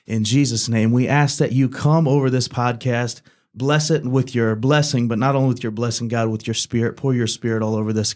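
A man's voice: mid-range voice, darkish tone. A man in his twenties hosting a church podcast, speaking earnestly and praying aloud. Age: 20s. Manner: earnest, repeats "God" between phrases. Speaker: podcast host. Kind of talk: casual church podcast chat with opening prayer.

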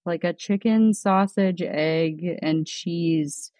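A woman's voice: on the low side, slow, warm tone, no room sound. A woman co-hosting a casual chat podcast, laid-back and drawling, asking her co-host questions.

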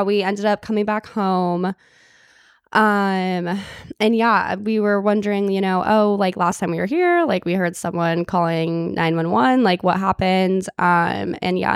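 Young woman, podcast co-host, relaxed friendly chat, laughs along, medium pitch, dry close recording. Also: measured pace, gravelly, clear, resonant tone, no room sound. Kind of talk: casual chat.

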